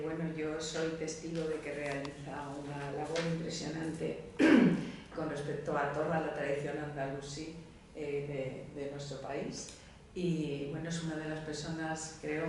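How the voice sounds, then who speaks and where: A man moderating a round-table panel, introducing the next guest in a measured, hesitant way with fillers. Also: on the high side, a little mellow, slight background noise. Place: lecture room. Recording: echoey hall, distant mic.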